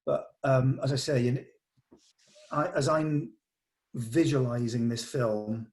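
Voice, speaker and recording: A man's voice: mid-range voice, steady tone, slow, darkish tone. A man talking casually in a production meeting as a film director, hesitantly thinking aloud.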